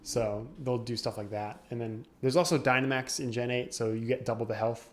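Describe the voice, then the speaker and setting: A man's voice: medium pitch, fairly even intonation, brisk. A young man, co-host of a casual podcast, explaining game mechanics at length and laughing often. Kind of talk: casual chat.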